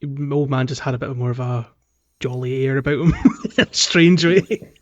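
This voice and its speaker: A man's voice: mid-range voice, normal speed, dull audio. A man hosting a podcast, talking through a game in a casual, rambling, conversational way. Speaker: podcast host.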